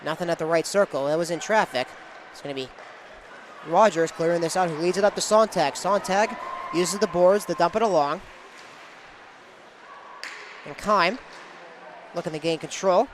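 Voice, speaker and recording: A high-pitched male sportscaster delivers live play-by-play in short, clipped phrases. He follows the action moment by moment, with some venue noise behind his voice. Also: moderate pace, pauses often.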